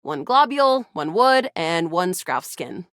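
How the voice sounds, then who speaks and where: A woman's voice: fairly low pitch, big pitch swings, a little slow, fairly bright tone, no room sound, studio quality. A woman voicing a cocky young female space soldier in a video game, brash, dramatic and self-assured. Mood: matter-of-fact. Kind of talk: video game character dialogue.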